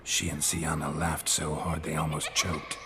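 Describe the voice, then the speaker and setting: An actor playing a gruff, stoic monster hunter in a video game cutscene, terse and deadpan, with a gravelly voice. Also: male voice, unhurried, heavy background noise. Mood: reading aloud, flat. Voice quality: gravelly, husky low voice.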